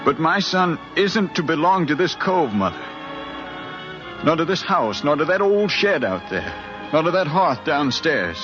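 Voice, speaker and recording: An actor playing an earnest, insistent middle-aged son pleading with his mother, in a deliberate, impassioned American radio drama style from the 1950s. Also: a little high, big pitch swings. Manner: impassioned, deliberate, speechlike with repeated phrases. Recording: dull 1950s radio recording, music.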